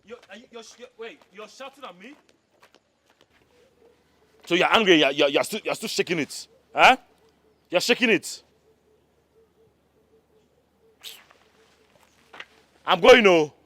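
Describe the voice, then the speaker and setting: An actor playing a lying, philandering husband in a film melodrama, stammering and repeating himself evasively. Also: male voice, high voice, animated, moderate pace, long pauses, a bit bright. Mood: incredulous, confrontational.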